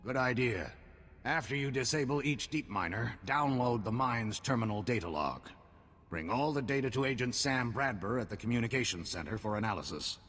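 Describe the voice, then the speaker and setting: A man's voice: expressive, normal speed, a bit bright, breathy edge. An actor playing a grim male field commander who gives clipped, commanding orders in a video game cutscene. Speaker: military commander. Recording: long reverb, faint rumble.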